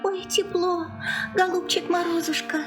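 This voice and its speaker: A woman playing a meek, sweet, shivering young maiden in a fairy-tale audio play, gentle and polite. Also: very high voice, little pitch movement, unhurried, music in the background.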